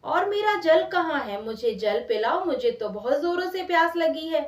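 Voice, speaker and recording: A woman's voice: high-pitched, expressive, moderate pace, pitched above the performer's own voice. A woman storyteller playing a male god who questions his devotee with feigned innocence. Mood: innocent, questioning. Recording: roomy echo, distant from mic.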